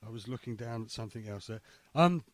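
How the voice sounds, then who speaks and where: A man's voice: lowish voice, expressive, fast, crowd noise. A man co-hosting a radio interview, speaking in casual chat. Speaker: co-host.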